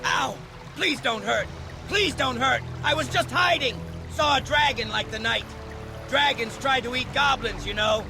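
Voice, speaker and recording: A man voicing a sly, cowardly little goblin in a fantasy game, gravelly and pleading in broken, servile phrases. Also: very high voice, measured pace. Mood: frightened pleading. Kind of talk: fantasy game cutscene acting. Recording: music behind the voice.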